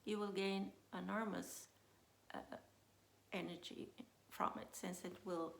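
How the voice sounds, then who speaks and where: Swedish-accented woman coach, gravelly, echoey room. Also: on the low side, steady tone, slight background noise. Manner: unhurried, thinks aloud with many uh fillers and restarts. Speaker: self-leadership coach. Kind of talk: casual talk to camera.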